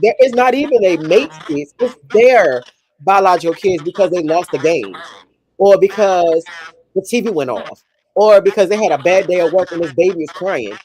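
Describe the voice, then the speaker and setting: A woman's voice: fairly low pitch, animated, normal speed, warm tone, low-quality recording. A woman in her forties, co-hosting a podcast, talking frankly and emphatically and repeating herself to make a point. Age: 40s. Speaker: podcast co-host. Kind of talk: casual chat.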